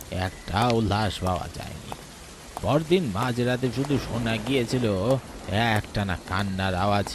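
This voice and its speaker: A man playing a worried old village grandfather in a horror cartoon, admonishing and gravely telling tales. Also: fairly low pitch, noisy background. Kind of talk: Bengali horror cartoon dialogue.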